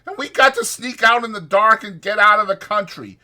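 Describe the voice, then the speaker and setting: A man's voice: high-pitched, fairly quick, bright, forceful tone, pitched above the performer's own voice. A man voicing a short-tempered, exasperated migrant ranch worker, scolding in slangy rural American working talk. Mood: angry scolding.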